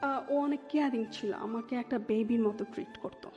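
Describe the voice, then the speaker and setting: Higher-pitched Bangladeshi woman in reverberant dull audio. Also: huge pitch swings, a little fast, a little mellow. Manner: mixes English words into Bengali. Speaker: interview guest telling her life story. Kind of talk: conversational personal storytelling. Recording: dull sound, long reverb, background music.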